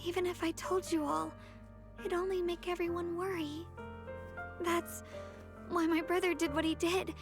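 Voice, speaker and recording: A woman voicing a gentle, apologetic young girl in an anime video game, speaking softly and haltingly. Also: extremely high pitch, flat delivery, over music. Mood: sad, apologetic. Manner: hesitant, halting, earnest. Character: gentle, apologetic girl hiding a worsening secret.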